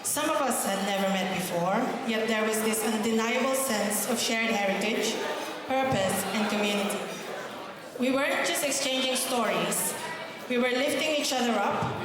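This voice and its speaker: A woman in her thirties from the Philippines giving an earnest, warm prepared speech as a founder at a gala dinner, into a PA microphone. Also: a little high. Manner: warm, earnest, with light self-deprecating laughs. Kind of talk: prepared speech to a banquet crowd. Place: large hotel ballroom. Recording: echoey hall with crowd chatter.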